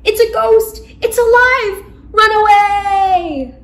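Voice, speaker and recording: A woman's voice: extremely high pitch, slow pace, fairly bright tone, pitched above the performer's own voice, full character voice. A woman voicing a friendly but timid, mournful boy ghost from a storybook in a dramatic, plaintive way. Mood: startled, alarmed.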